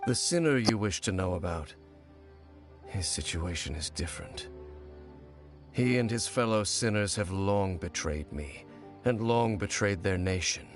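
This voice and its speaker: A grave, brooding ancient warrior from a video game, with a very deep, low voice. He talks in a measured, solemn way, with faint game music behind. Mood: bitter grave. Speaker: game character.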